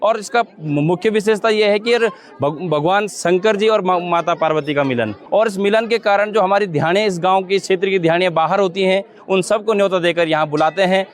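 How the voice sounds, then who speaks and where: A man speaks as the head of a local committee. His voice is notably high for a man, and he addresses the public formally. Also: fairly quick. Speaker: village fair committee president. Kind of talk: formal public greeting and explanation.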